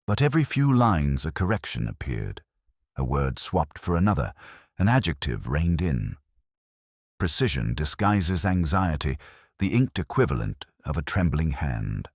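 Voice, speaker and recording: A man acting as a brooding police inspector with an extremely low voice. He narrates his case in measured, literary phrases with expressive rises and falls. The recording is dry and close, like an audio detective drama. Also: no room sound, full character voice. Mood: wry, pensive. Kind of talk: first-person scripted detective narration.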